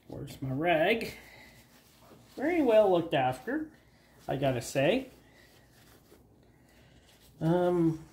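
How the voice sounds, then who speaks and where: A woman's voice: mid-range voice, darkish tone. A woman with a cold hosting a garage channel, chatting casually and rambling with fillers while cutting apart parts on an outdoor table. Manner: rambling, self-deprecating, halting with fillers. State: has a cold. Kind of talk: casual hands-on DIY narration.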